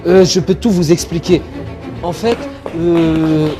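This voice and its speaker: A man voicing a bumbling, cowardly impostor healer in an animated religious story, blustering and easily flustered. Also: a little high, slow pace, soft background music. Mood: flustered, pleading. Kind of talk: French-language animated religious story dub.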